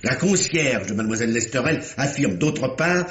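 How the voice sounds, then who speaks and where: A man's voice: mid-range voice, lively intonation, normal speed, a bit bright, reverberant, close to an everyday voice. An actor playing a fussy, authoritative middle-aged examining magistrate in a theatrical radio mystery style, often repeating his words. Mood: grave, firm. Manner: theatrical, hesitant repetitions, commanding. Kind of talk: 1950s French radio mystery acting.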